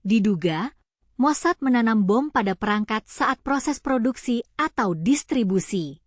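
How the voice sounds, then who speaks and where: High-voiced woman narrating news. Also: lively intonation, unhurried, no echo, studio quality.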